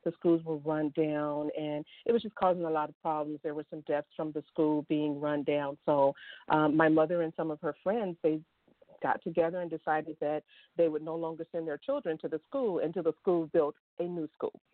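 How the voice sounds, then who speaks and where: A woman's voice: deep voice, steady tone, normal speed, dry recording. Woman from Chicago on a tinny phone line. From Chicago, USA. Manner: friendly, storytelling, laughs now and then. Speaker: guest, online school founder. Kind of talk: radio interview.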